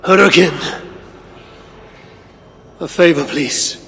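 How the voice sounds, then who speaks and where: An actor playing a proud, battle-worn Norse high god in a video game cinematic, gravelly, solemn and deliberate. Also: male voice, fairly bright tone, long reverb tail, slight background noise, heavily acted. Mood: grave, commanding. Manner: solemn, theatrical, deliberate delivery.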